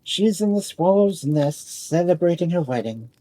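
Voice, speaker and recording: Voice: mid-range voice, lively intonation, moderate pace, mellow, voice pushed up, natural voice. A storyteller voicing a boastful, lovesick toy spinning top in a fairy tale, earnest and self-important. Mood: resigned.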